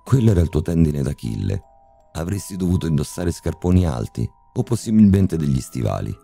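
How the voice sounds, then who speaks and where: A man playing a cold, calm, sadistic male surgeon who speaks with polite, mocking menace in a horror story reading. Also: a bass voice, brisk, vocal fry, husky, soft background music, voice pushed up, exaggerated voice. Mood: icy calm.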